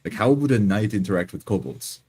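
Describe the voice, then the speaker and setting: A man's voice: lowish voice, very animated, a little fast, darkish tone, breathy edge. A man in a casual discussion between roleplay players, explaining his points insistently and checking for agreement.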